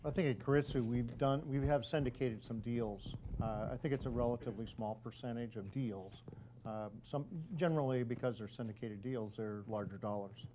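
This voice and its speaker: A man's voice: mid-range voice, normal speed, dark tone, a little hiss or hum. A man speaking as a panelist in a live investor panel, plain and matter-of-fact.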